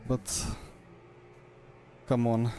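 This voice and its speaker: A man with a Slovenian accent talks through a wireless headset during a sim racing stream. His speech comes in short, fragmented bursts as he mutters to himself and his chat, in an ordinary mid-range voice. Game engine noise sits clearly beneath him. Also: mid-range voice, completely flat delivery, drawn-out words, mellow. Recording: game car noise underneath.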